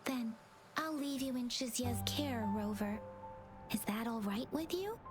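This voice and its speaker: A woman voicing a gentle, diligent young scout in a video game, polite and earnest. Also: a little high, measured pace, natural voice. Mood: gentle, helpful. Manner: polite, soft-mannered, earnest. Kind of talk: video game character acting. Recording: game music behind the voice.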